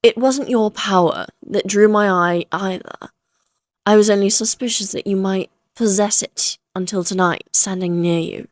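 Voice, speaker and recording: A woman's voice: mid-range voice, moderate pace, dry recording, close to an everyday voice. A woman voicing an ancient, amused and self-assured female vampire who taunts the listener in formal, theatrical phrases, in a Victorian fantasy audio roleplay. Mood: amused, musing.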